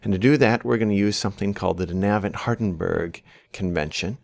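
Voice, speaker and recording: A man's voice: fairly low pitch, a little fast, gravelly, no echo. A man, giving an instructional university lecture and explaining worked examples into a close desk microphone. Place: small book-lined office, indoors. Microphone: large desk microphone close to the mouth.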